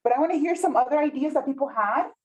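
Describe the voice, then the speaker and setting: A woman's voice: high-pitched, fast talker. A woman whose first language is Spanish leads a community workshop in a chatty way, often checking in with the group. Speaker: workshop facilitator. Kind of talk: interactive workshop teaching. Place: meeting room, with some people online.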